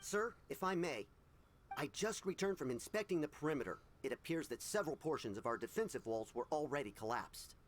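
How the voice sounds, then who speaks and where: A man playing a higher-pitched dutiful soldier. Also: animated, fairly quick, slight background noise, natural voice. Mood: serious, dutiful. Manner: formal, deferential. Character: dutiful, urgent military scout reporting to his superior. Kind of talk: video game dialogue.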